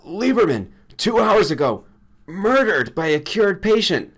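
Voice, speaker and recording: A man's voice: sits high, very animated, unhurried, a bit bright, voice pushed up, heavily acted. A man playing an agitated male colleague in an audiobook, urgent and raised in voice. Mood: alarmed. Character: agitated male colleague bringing grim news.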